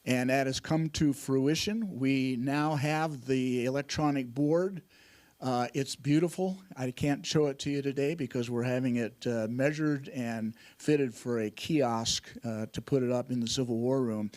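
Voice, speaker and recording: A man giving a short announcement about a museum project, halting, with many uhs, but enthusiastic. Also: medium pitch, steady tone, a little mellow. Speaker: museum volunteer giving an update.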